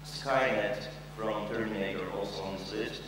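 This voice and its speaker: A young man gives a loose, joking tech talk on stage, his slightly low voice ringing through a big hall. It is picked up from a distance, so it sounds roomy and far off. A steady hum and clear background noise sit under his words. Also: normal speed. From Poland. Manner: joking, loose, with frequent fillers and swearing. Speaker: conference speaker. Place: large conference hall with an audience. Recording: echoey hall recorded from afar.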